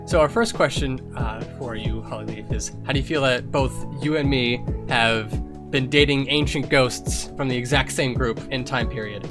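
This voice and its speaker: A man plays a sarcastic male warrior cat who hosts an improvised fan Q&A. He teases drily, like a needling brother, in an evenly pitched voice. Music is clearly audible underneath. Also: moderate pace, close to an everyday voice. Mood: dry, teasing. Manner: dry, teasing, sarcastic. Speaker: host of character Q&A. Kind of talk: improvised in-character fan Q&A banter.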